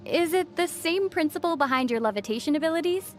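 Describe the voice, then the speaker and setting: A woman voicing a young female traveler in a video game, composed and playfully teasing, with quick wit. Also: sits high, expressive, loud music behind the voice. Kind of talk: video game character dialogue.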